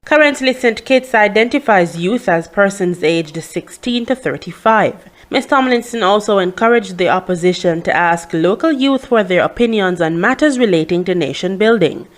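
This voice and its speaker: A woman's voice: medium pitch, expressive, normal speed, hardly any pauses. A woman reading a scripted news report as narration.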